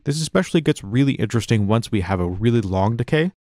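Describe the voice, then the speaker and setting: A man narrating a software tutorial as an instructor, in a casual, conversational, explanatory manner, close to the mic. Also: medium pitch, lively intonation, fast talker, dry recording, soft background music. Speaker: music production tutorial instructor.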